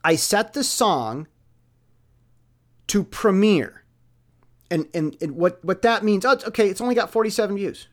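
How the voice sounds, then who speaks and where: A man speaking in a casual, rambling monologue, excitably repeating words and phrases for emphasis. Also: sits high, unhurried, pauses often. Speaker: musician with an online channel.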